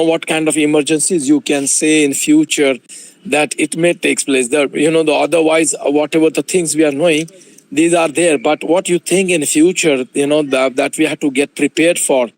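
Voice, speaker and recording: A man's voice: fairly high pitch, rough recording. A man asking a long question at a conference, hesitant and full of fillers, heard over a video call. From India. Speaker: audience member asking a question.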